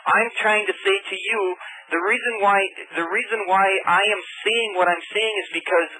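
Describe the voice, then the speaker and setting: A man calling a radio show by telephone, explaining his views in an earnest, halting, persistent way. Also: high-pitched, pushed and bright. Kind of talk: live phone-in debate. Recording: faintly noisy radio phone-in call.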